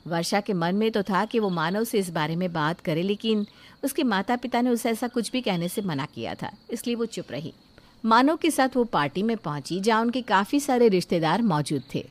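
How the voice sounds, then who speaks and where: A woman's voice: mid-range voice, lively intonation, normal speed. A woman narrating a scripted moral family story as a storyteller.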